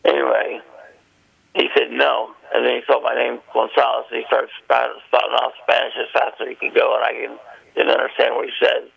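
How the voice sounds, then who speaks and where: A man tells a long, wandering story as a guest. His voice is very gravelly and husky. It comes through a muffled phone line. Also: mid-range voice, monotone, brisk. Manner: rambling storytelling.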